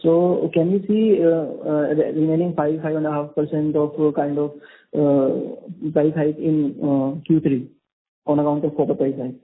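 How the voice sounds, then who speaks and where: A man, an analyst asking questions on an earnings call, halting and repeating his words. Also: on the high side, little pitch movement. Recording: phone line.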